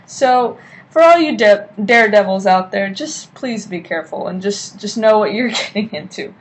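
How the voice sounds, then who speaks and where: A young woman talks straight into her laptop webcam from a lamp-lit hotel room, presenting a class speech in a loose, chatty way. Her pitch sits in the middle of the usual range, and she keeps tossing in "okay?" to the listener. Faint room noise hums under the recording. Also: animated, moderate pace, poor audio. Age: young adult. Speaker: student giving a class speech. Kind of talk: informal informative speech. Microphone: laptop webcam at desk.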